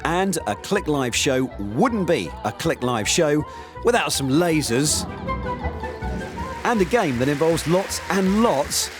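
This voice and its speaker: A man's voice: fairly high pitch, very animated, slow, loud music behind the voice. A man presenting a technology show, moving between scripted narration and live interviewing.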